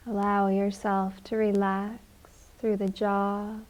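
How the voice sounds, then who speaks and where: A woman leading a guided meditation in a gentle, soothing manner, leaving long unhurried pauses. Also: medium pitch, monotone.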